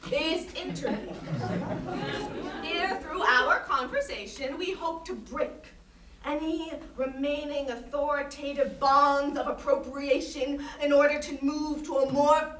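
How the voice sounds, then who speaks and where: Pretentious lady artist lecturing onstage, high bright voice, super grand and emphatic. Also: slow pace, a faint crowd, heavily acted. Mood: dramatic, emphatic. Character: female conceptual artist, grandiose, earnest, pretentious. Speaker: actor in a staged reading. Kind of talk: theatrical monologue in interview form. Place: staged reading in a reverberant room. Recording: roomy, distant microphone, noisy hall.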